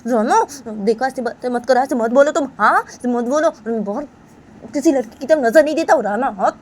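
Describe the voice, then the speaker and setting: A frightened young family member, as a woman plays the part, crying and pleading in a high, tearful wail. The pitch climbs and cracks as the pleas keep coming, with faint hiss underneath. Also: animated, racing, dark tone.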